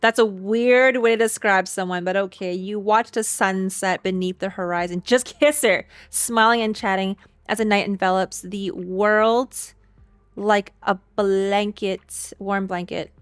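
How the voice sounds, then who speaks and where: A woman's voice: medium pitch, steady tone, measured pace, smooth, dry recording. Playful woman streamer, dry close sound. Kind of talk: casual game reaction commentary. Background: faint game music.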